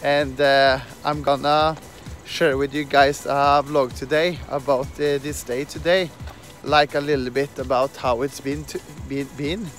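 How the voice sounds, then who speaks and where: A man in his thirties from Norway, speaking English with a Norwegian accent, talks haltingly to a phone held at arm's length as he walks outdoors at night. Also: medium pitch, level tone, fairly bright tone, faint music behind. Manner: halting, many fillers and restarts, earnest. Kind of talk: casual walking vlog. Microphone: phone camera held at arm's length.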